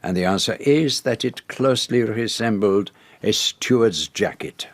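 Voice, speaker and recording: An actor playing a shrewd, assured detective in a radio drama, with a Belgian French accent and deliberate, formal, precise phrasing. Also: male voice, fairly low pitch, dry recording. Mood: methodical, triumphant. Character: shrewd, assured male detective laying out a murder.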